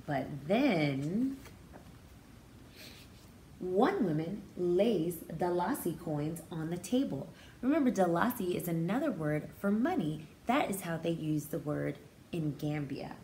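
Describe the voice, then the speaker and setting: A woman's voice: lowish voice, expressive, unhurried, mellow, slight background noise. A woman teacher doing a picture-book read-aloud, expressively explaining words and feelings in simple terms for young children. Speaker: pre-K teacher reading aloud.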